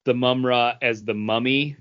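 A man co-hosting a podcast, telling casual nostalgic stories in an animated, slangy way and acting out quotes. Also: mid-range voice, measured pace, smooth, dull audio. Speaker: podcast co-host. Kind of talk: casual chat, nostalgic anecdotes.

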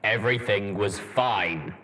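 A man voicing a calm, stern armored male pony who speaks in a level, commanding way, louder than the narration. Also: fairly low pitch, measured pace.

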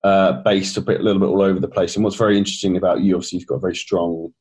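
A man hosting a fitness podcast, chatting in a friendly, halting way with plenty of fillers. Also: deep voice, little pitch movement, darkish tone. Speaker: podcast host and fitness coach. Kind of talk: casual podcast interview.

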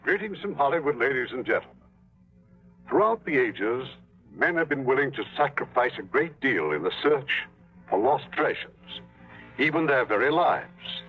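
A man's voice: mid-range voice, big pitch swings, normal speed. A man hosting as producer, giving a polished, stately introduction to a radio play. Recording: tinny 1950s radio broadcast with music.

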